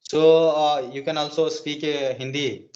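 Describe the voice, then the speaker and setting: A man from Odisha, India, with an Odia accent and Odia as his native language, chatting casually in halting, repetitive English over a lo-fi call. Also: on the high side, steady tone, darkish tone. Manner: halting, deliberate, repeats phrases. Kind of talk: casual chat. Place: video call. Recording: dull lo-fi video call.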